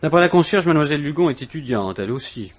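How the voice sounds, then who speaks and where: An actor playing a dry, methodical police inspector questioning someone in a 1950s French radio mystery. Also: male voice, a little high, lively intonation, very fast. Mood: probing, dry. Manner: matter-of-fact, questioning.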